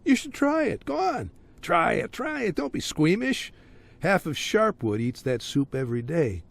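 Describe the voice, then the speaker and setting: Theatrical, creaky-voiced crime boss, swooping pitch. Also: male voice, very animated, normal speed, full character voice. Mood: jovial, coaxing. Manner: theatrical, rambling, folksy sarcasm with rhetorical questions. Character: jovial, menacing, talkative small-town crime boss. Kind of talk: video game cutscene acting.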